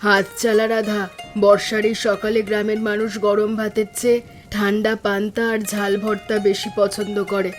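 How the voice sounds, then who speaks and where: A woman voicing a resolute, hopeful poor village mother in an animated cartoon story, earnest and encouraging. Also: medium pitch, normal speed, faint music behind, natural voice.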